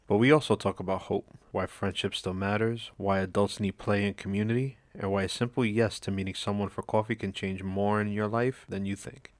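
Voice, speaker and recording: A man hosting a podcast, giving a measured, scripted introduction and then asking questions. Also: fairly low pitch, steady tone, dry recording. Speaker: podcast host.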